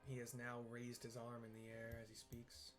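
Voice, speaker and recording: A man giving improvised audio description of a game scene, halting and searching for plain comparisons as he goes. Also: mid-range voice, monotone. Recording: game music behind the voice. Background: game music.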